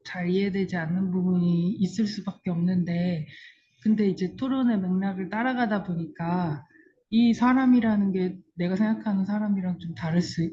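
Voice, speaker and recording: A woman's voice: lowish voice, slight background noise. A woman taking part in a seminar discussion, tentatively piecing her thoughts together aloud, heard over a tinny, narrow connection. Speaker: discussion participant. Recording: tinny, narrow phone-like line.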